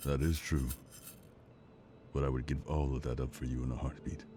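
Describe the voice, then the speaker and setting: A man acting a devoted, steady partner and father in an intimate audio drama, calm and reassuring. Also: a bass voice, moderate pace, noisy background.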